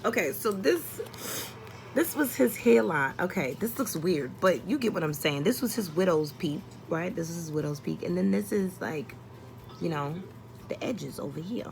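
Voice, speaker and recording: Woman, slightly low voice, casual vlog storytelling, exasperated, sweary, comedic, faint background noise. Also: animated, moderate pace, vocal fry. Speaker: vlogger telling a story. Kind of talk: casual chatty personal storytelling.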